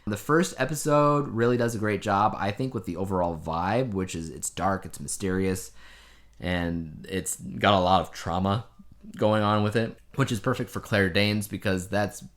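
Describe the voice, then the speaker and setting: A man with a fairly low voice talks as a podcast co-host. His style is casual and conversational, like someone recounting and reviewing things for a friend, with emphatic asides along the way. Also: normal speed. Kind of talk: casual chat reviewing a TV show.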